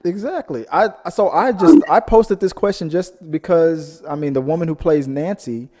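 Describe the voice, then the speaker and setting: A man hosts a casual film podcast in a soft-edged, fairly high voice. He rambles and jokes as he hunts for words, and the recording carries a long hall-like reverb. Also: normal speed. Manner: rambling, jokey, searching for words. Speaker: host of a film discussion podcast. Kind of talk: casual chat.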